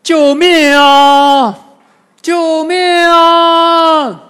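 Old man demon in disguise, theatrical wailing n pleading, high voice, drags every word, story reading. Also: big pitch swings, slow, heavily acted. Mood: panicked pleading. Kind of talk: dramatised storytelling.

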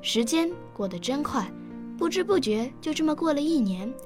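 A very high, childlike voice of an earnest young girl in a radio drama. She is eager and emotional, speaking over music. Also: very animated, moderate pace. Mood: reflective. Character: earnest, emotional nine-year-old girl who lost a parent. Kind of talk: radio drama acting with first-person narration.

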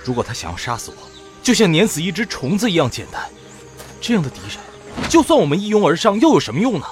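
A dejected young male warrior in an audio drama. His fairly high voice moves quickly and swings dramatically between highs and lows, with faint music underneath. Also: wildly swooping pitch. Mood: despairing.

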